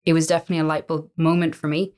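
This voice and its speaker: An Irish woman in her thirties, a business owner chatting as an interview guest, relaxed and full of little fillers and restarts. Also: low voice, rapid-fire, smooth. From Ireland. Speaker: guest, co-owner of a coworking space. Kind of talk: relaxed conversational storytelling.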